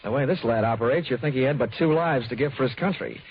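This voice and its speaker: An actor playing a cocky, wisecracking American insurance investigator in an old-time radio detective drama, with a wry, punchy delivery. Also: male voice, mid-range voice, quick pace, a little hiss or hum, close to an everyday voice. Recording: dull vintage radio recording.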